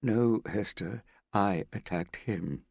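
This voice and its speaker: A guilt-stricken older father mumbles his remorse, low and lost, as a male reader voices him. The voice is husky and gravelly, recorded close and dry. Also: slow pace, darkish tone, no echo, exaggerated voice. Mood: guilty.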